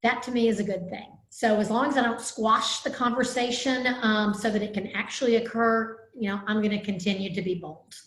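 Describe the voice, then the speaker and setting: A woman's voice: a little high, fairly even intonation, fairly quick, few pauses, fairly bright tone, echoey room, poor audio. A woman host with a Southern US accent in casual conversation, speaking boldly and with self-assurance. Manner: bold, outspoken, self-assured.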